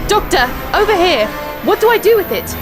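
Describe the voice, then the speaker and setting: An actress playing a feisty, squeamish young space-travel companion in an audio drama, expressive and quick to exclaim. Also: female voice, very high-pitched, over music. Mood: disgusted, annoyed. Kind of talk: full-cast audio drama acting.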